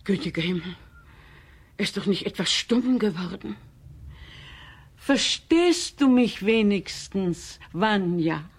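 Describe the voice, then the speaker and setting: A woman's voice: mid-range voice, animated, takes it slowly, pauses often, breathy edge, heavily acted. An actress playing a worried, scolding motherly aunt in a German children's radio drama, fussy, emphatic and coaxing. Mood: anxious, consoling.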